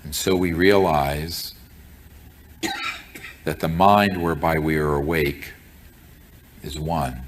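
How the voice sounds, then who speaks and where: A man leading a group in guided meditation, speaking as a teacher in a solemn, deliberate and measured way. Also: extremely low pitch, fairly even intonation, a little hiss or hum. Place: room with a group present.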